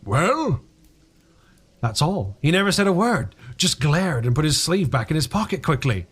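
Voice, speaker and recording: A man voicing a frightened, insistent man recounting an uncanny encounter in an emphatic, halting way, as in a dramatised audiobook. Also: a little high, sing-song, slightly rough, voice pushed up, heavily acted. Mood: agitated, baffled.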